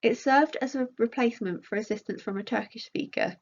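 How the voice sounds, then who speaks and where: A woman's voice: on the high side, a little fast, vocal fry, muffled recording, slight background noise. A woman reading out a scripted academic conference presentation as a researcher.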